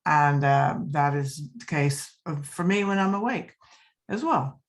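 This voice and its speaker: A woman in an online study group, sharing her thoughts enthusiastically and warmly thanking the others over a video call. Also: sits low, expressive, unhurried. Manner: enthusiastic, heartfelt. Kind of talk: casual group discussion.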